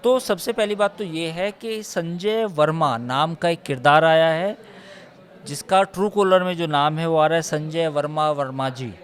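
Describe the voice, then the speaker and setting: Emphatic man, somewhat high-pitched, analysing news. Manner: emphatic, repeats points and lists figures. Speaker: news reporter analysing a case. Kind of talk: live news discussion, unscripted analysis.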